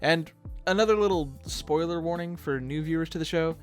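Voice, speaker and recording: A man's voice: a little high, brisk, faint music behind. A man co-hosting a fan show, delivering scripted back-and-forth commentary.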